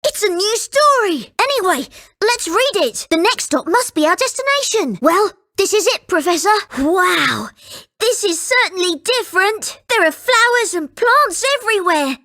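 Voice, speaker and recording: A woman voicing a polite, earnest and excitable young boy in a video game. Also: very high-pitched, moderate pace, exaggerated voice. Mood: excited, urgent.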